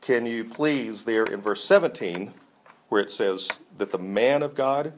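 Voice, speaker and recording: A man's voice: medium pitch, measured pace, a little hiss or hum. An enthusiastic man teaching a Bible class, talking conversationally with frequent restarts and fillers.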